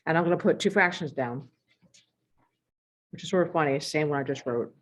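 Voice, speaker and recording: A woman teaching a math lesson while losing her voice, clearing her throat and walking through examples step by step. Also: low-pitched, brisk.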